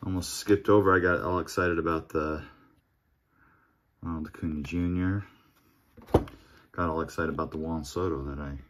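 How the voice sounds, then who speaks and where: A man opening packs of baseball cards at a home table, reading off player names one by one in a relaxed, murmuring commentary. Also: rumbling low, normal speed, long pauses, slight background noise. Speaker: card collector opening packs. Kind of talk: casual pack-opening commentary. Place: home table with card collection display.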